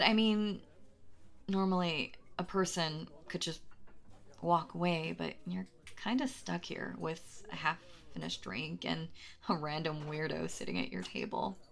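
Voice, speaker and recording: A woman's voice: low voice, expressive, measured pace, vocal fry, heavily acted. A woman playing a very shy, anxious young stranger in an intimate audio roleplay, rambling and stammering as she talks to the listener. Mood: shy, nervous. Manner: hesitant, stammering, nervously giggly, self-doubting.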